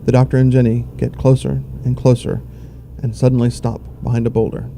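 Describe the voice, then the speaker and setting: A man's voice: medium pitch, little pitch movement, brisk, vocal fry, mellow, a little hiss or hum. A man reading scripted narration for an audio drama in the role of narrator.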